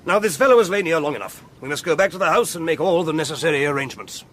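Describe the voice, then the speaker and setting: An actor with an upper-class English accent playing an imperious, irritable, dismissive earl in a period radio drama, haughty and curt with exasperated sighs. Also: male voice, on the high side, lively intonation, very fast, a bit bright. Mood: brisk, dismissive.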